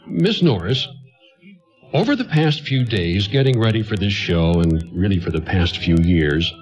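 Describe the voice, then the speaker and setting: An actor playing an earnest, bashful father with a halting, flustered manner in a nostalgic 1940s-style radio play. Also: on the low side, very animated, rough recording. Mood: nervous, earnest. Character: earnest, bashful widowed father, nervously smitten ad man.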